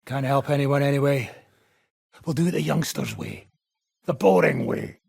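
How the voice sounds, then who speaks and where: A man playing a gruff, foul-mouthed, menacing mercenary in a video game, with a gravelly, raspy voice and a coarse, swaggering, sardonic manner. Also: medium pitch, big pitch swings, normal speed, darkish tone, no echo, studio quality, heavily acted. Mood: gruff, commanding. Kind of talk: video game character acting.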